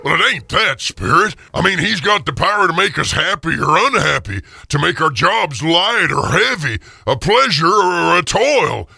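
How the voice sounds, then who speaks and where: A man playing an emotional, remorseful old miser with a gravelly, raspy voice in an audio drama. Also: on the high side, very animated, normal speed, hardly any pauses, bright, forceful tone, no room sound, clean studio sound, pitched above the performer's own voice, exaggerated voice. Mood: indignant. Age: older.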